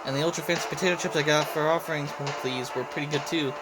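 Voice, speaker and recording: A man voicing a lazy, content guardian statue from a game in a laid-back, unhurried manner. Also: on the high side, steady tone, loud music behind the voice, natural voice, rough recording.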